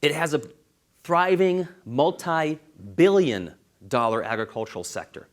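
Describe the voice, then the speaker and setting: A man's voice: a little high, lively intonation, a little slow. A man from Israel, a law professor, giving a university seminar talk in an earnest way and stressing key figures.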